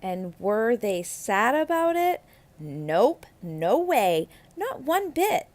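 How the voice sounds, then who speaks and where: A woman reading a children's picture book aloud as narrator, with expressive, emphatic phrasing that stretches out key words. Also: on the high side, takes it slowly.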